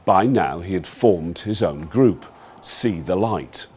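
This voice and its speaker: An actor playing a measured investigator, narrating a case file in an official manner for a dramatised film. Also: male voice, low voice, lively intonation, darkish tone, breathy edge, exaggerated voice. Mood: grave, factual. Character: measured male investigator recounting a troubled youth's case.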